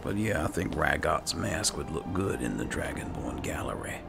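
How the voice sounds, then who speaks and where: Very deep, gravelly male gamer. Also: expressive, moderate pace, loud music behind the voice. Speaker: gaming video host. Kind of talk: casual roleplay game commentary. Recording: clear game ambience noise behind voice. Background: video game ambience.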